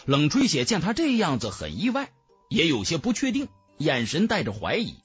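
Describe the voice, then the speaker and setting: A man's voice: on the high side, wildly swooping pitch, normal speed, breathy edge, dull audio, faint music behind. A man narrating a fantasy audiobook in a dramatic, scripted storytelling style.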